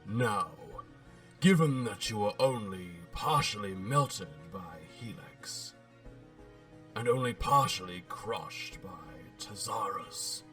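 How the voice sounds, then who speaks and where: A man's voice: fairly low pitch, lively intonation, slow, a bit bright, recorded from a distance, faint music behind. A man voicing an eloquent, pompous robot warlord who speaks with theatrical, polite menace in a dramatic comic book reading. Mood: menacing, condescending.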